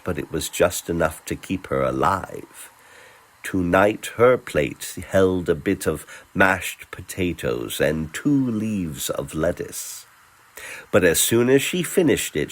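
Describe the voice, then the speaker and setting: A man reading a scripted story as narrator, theatrical and deliberate, with dramatic pauses between phrases. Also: sits low. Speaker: narrator, voice performer.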